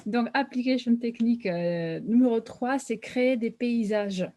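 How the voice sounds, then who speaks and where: A woman's voice: on the high side, measured pace, mellow. A woman with an Italian accent co-presenting a webinar, explaining a workshop technique fluently.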